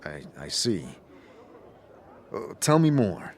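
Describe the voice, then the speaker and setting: A man's voice: on the low side, sing-song, slow, a little mellow, slight background noise, heavily acted. A man playing a grim, forgetful undead wanderer in a fantasy audio drama, terse and hesitant in an understated way. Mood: guarded.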